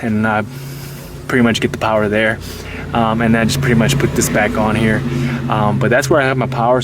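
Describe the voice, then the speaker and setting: A man giving a casual, unscripted how-to in an informal, halting, repetitive way, like a hobbyist vlogger. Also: on the low side, a little hiss or hum. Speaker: vlogger showing a DIY car install. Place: in and around a Jeep.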